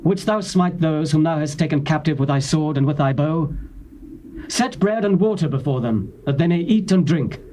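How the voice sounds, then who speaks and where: An actor playing a stern, commanding prophet who speaks in a solemn, declamatory way. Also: male voice, a little high, lively intonation, normal speed, dark tone, lightly acted. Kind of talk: dramatized scripture reading. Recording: faint tape hiss.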